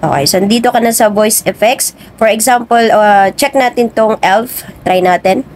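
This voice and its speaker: A woman's voice: mid-range voice, normal speed, a little mellow, slight background noise. A woman hosting a casual how-to tutorial. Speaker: video tutorial host. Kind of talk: casual spoken app tutorial.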